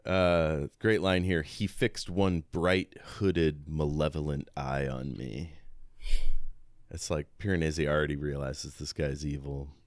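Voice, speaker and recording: A man's voice: rumbling low, steady tone, a little slow, dry recording, clean studio sound. A man co-hosting a podcast, musing casually about a book as he works through his notes and poses questions. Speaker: podcast co-host.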